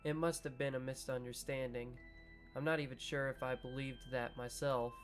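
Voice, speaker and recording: Guy reading a visual novel out loud real slow, joking around between lines, quiet music in the back. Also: mid-range voice. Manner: slow, measured reading broken by joking asides. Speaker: gaming video creator. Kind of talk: reading a visual novel aloud with casual commentary.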